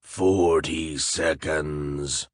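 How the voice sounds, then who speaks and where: A man voicing a huge, menacing flesh golem monster that speaks slowly with a deep, wet, gurgling voice. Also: steady tone, no room sound, exaggerated voice. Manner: slow, deep, gurgling and distant.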